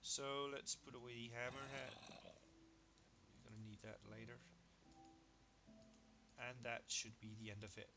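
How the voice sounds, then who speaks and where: A man whose first language is Danish, giving casual gameplay commentary. He is excitable, marvels out loud at what he builds, and counts under his breath. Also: mid-range voice, normal speed, pauses often, noisy background. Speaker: gaming video creator.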